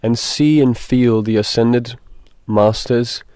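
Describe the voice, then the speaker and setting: A man leading a guided meditation, speaking in a deliberate, halting way with pauses. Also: medium pitch, a little mellow, smooth, dry recording.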